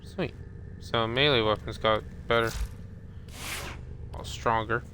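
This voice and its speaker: A man's voice: mid-range voice, steady tone, takes it slowly, bright, forceful tone, slight background noise. A man giving casual live commentary as he plays a game, laid-back and half talking to himself. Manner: laid-back, muttering to himself, reading screen text. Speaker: game streamer.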